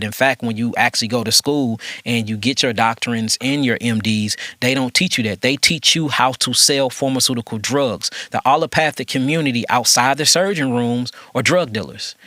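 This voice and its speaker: A man holds forth on health, emphatic and preachy, driving his points home with rhetorical questions. His voice is bright and forward. It is recorded dry and close. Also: mid-range voice, normal speed, hardly any pauses, no echo. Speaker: holistic health host. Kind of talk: conversational discussion.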